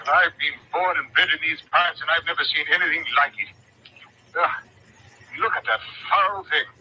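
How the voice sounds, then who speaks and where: A man's voice: on the high side, steady tone, normal speed, bright, forceful tone, poor audio. An actor playing a nervous, agitated Englishman who stammers and exclaims in a 1940s radio drama style. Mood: horrified. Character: nervous, horrified Englishman from the Sussex coast. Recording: old radio recording, faint noise.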